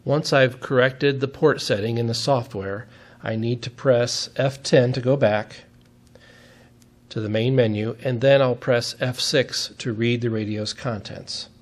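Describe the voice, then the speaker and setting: A man's voice: mid-range voice, fairly even intonation, a little slow. A man giving a step-by-step technical demonstration in a methodical, plain-spoken way, as an instructor would.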